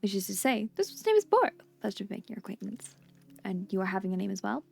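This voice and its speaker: A woman voicing a kindly male tea shop owner who speaks with formal, quaint, gently polite phrasing. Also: medium pitch, very animated, fast, gravelly, soft background music, heavily acted. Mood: gentle, welcoming.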